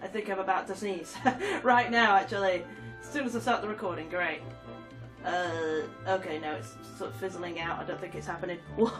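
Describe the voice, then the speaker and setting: A woman's voice: on the low side, steady tone, normal speed, distant mic, over music. Giggly stuffy-nosed woman with a cold. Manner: giggly, chatty, reads text aloud with asides. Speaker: gaming YouTuber. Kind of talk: casual game commentary, reading trophy descriptions aloud.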